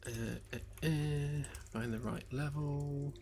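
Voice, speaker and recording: A man developing a game on a live stream, chatting casually as he works, slightly hoarse and rambling with lots of fillers. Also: on the high side, steady tone, takes it slowly, darkish tone. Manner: rambling, hesitant, many fillers, self-deprecating jokes. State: slightly hoarse, losing his voice. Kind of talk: relaxed live-stream chat while working. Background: faint lo-fi background music.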